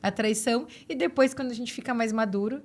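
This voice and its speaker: A woman's voice: fairly high pitch, quick pace, darkish tone. A woman chatting casually as a podcast host, thinking aloud as she goes. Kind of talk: casual podcast chat about books.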